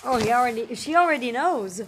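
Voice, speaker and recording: A woman's voice: fairly high pitch, animated, a little fast, heavy background noise. A woman giving casual, chatty gameplay commentary, reacting informally as a gaming video creator. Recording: commentary under loud game music. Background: loud game music.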